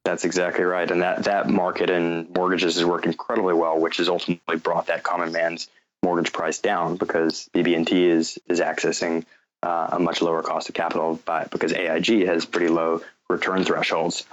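A low-voiced American man speaks as an interview guest. His delivery stays very even and measured, with hesitant restarts as he explains things. The sound is dull and a bit lo-fi, like a call. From North Carolina, United States. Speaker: guest, fintech company founder. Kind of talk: interview answers, explaining business.

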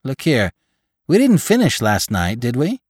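A man acts out a bewildered workman at a graveside in a storybook. His voice jumps up high and swings widely. He exclaims urgently, thoroughly agitated. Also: huge pitch swings, unhurried, husky, no echo, studio quality, exaggerated voice. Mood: alarmed, agitated.

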